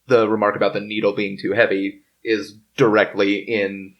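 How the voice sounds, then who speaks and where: Halting male podcast host. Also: on the low side, flat delivery, lo-fi sound. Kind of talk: narrating history from notes in casual group talk.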